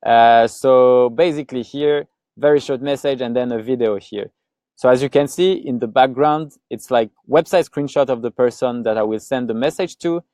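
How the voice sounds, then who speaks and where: A man's voice: medium pitch, moderate pace, a little mellow, no room sound. A man with a French accent hosts a webinar, talking casually as he walks through his slides. His voice sits in a middle range, and the sound is dry and close with no room around it. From France. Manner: chatty, lots of "like" and restarts. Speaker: co-founder presenting a webinar.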